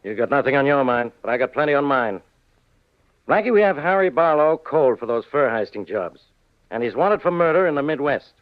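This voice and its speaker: An American actor playing a gruff, blustering, exasperated police inspector in a 1940s radio drama. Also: male voice, medium pitch, animated, a little fast, no room sound, natural voice. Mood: blunt, curious. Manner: gruff, bossy, blunt. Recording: dull, dry 1940s radio recording.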